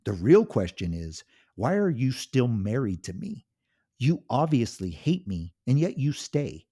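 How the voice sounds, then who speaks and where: A wounded husband at the end of his rope yells and pleads in a raised, indignant voice, as a man acts him out. The voice is husky and mellow beneath the strain. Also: mid-range voice, very animated, a little slow, pitched above the performer's own voice. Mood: angry shouting. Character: desperate, hurt husband finally fighting back.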